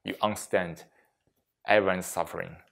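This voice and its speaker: A man with a fairly deep voice gives a reflective mindfulness lesson. He talks deliberately, setting out short phrases and leaving frequent pauses between them. His wording is that of a non-native English speaker, with small slips in grammar. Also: fairly even intonation, creaky, a bit bright. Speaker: meditation teacher giving a workplace session. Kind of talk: slow, reflective mindfulness talk to a group.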